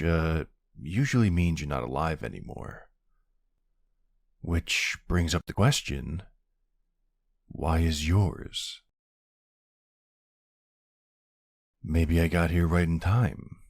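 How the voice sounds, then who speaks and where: A man playing a centuries-old vampire hunter in an ASMR roleplay, gruff, wry and suspicious, questioning someone in a brusque, intimate way. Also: deep voice, a little mellow, no room sound, studio quality, heavily acted. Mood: wry, matter-of-fact. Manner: brusque, wry, slow interrogating delivery with pauses. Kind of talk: ASMR roleplay, intimate one-sided dialogue.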